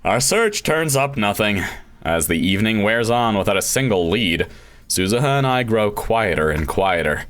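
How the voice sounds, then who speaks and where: Man playing a brooding, low-voiced young hothead. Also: expressive, moderate pace, a bit bright. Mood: weary. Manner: intense, dramatic, introspective monologue. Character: brooding, self-doubting young male amateur scientist, hot-tempered. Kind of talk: visual novel narration read aloud. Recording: music behind the voice.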